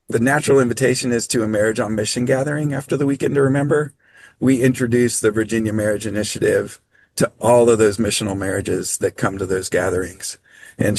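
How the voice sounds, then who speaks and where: Low, dry-voiced man presenting online. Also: dry recording, rough recording. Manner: measured, practical, with frequent ums. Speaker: training presenter. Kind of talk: online training talk. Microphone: video call.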